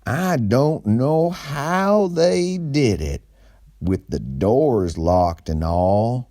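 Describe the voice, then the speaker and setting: A man voicing a nervous, awkward, tall male store manager, speaking in a raised, drawn-out, hesitant way. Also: mid-range voice, lively intonation, mellow, no echo, studio quality, pitched above the performer's own voice. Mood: fretful.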